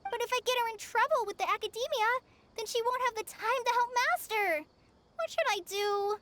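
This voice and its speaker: Very high-pitched timid young girl. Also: fairly quick, a bit bright, a little hiss or hum. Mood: worried. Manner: hesitant, earnest. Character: timid, anxious, sweet teenage girl apprentice. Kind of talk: video game character voice acting.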